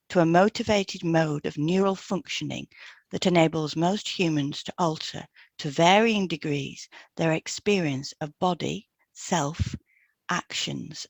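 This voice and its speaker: A woman's voice: low voice, gravelly, no room sound. A woman co-presenting an educational podcast, reading scripted material in an explanatory, summarising way.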